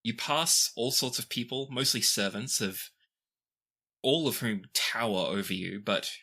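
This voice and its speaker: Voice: mid-range voice, measured pace, sharp tone, husky. A game master narrating a tabletop fantasy game in a conversational, descriptive way, with casual asides.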